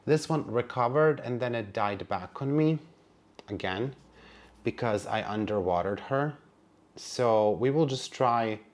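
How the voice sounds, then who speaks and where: A man's voice: mid-range voice, measured pace. A man whose first language is Serbian, narrating a casual plant vlog in a chatty, wry manner with sassy asides. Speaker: plant vlogger.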